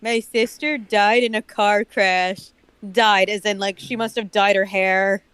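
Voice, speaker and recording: A woman speaks at a middle pitch with a very dry, roomless sound. She comments casually as a co-host, with dry humor. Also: fairly even intonation, measured pace, fairly bright tone, clean tone, dry recording. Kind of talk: casual chat.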